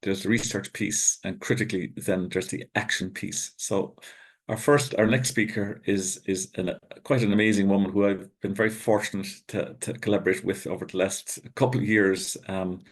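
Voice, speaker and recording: A man's voice: sits low, flat delivery, normal speed. A man hosting a webinar, introducing the next speaker with hesitant, repeated words over a video call. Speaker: webinar host.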